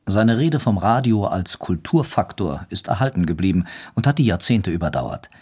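A man narrating a scripted radio documentary in a husky voice. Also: lowish voice, darkish tone.

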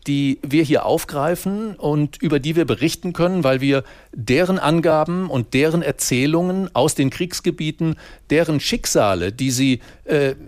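Measured man speaking in a dry studio. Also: fairly high pitch, dry recording. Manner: measured, hesitant with many fillers. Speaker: journalist. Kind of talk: studio discussion.

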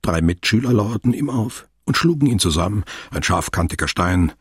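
Casting: narrator, very husky low voice, measured literary delivery, dry studio. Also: male voice, animated, dry recording. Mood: sober. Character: sober male narrator of a biography. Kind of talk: literary radio drama narration.

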